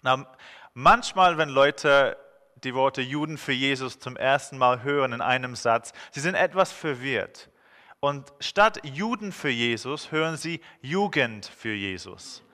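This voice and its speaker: A man's voice: medium pitch, expressive, unhurried, sharp tone. A man in his thirties with an English accent, preaching a friendly, joking sermon with frequent hesitations. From England. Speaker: guest preacher. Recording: long hall reverb.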